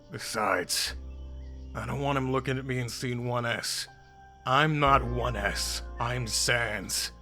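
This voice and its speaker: A man's voice: mid-range voice, slow, sharp tone, soft background music. A man playing a laid-back, wry skeleton with a casual, dry, needling way of talking in a fan comic dub. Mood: grave, blunt.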